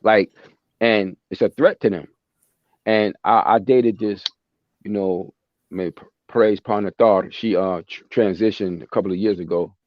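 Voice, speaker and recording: Deep-voiced man, emphatic and repetitive. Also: steady tone, a little slow, no room sound. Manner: passionate, emphatic, repeats himself. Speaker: podcast host. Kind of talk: casual chat. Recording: dry, dull lo-fi sound.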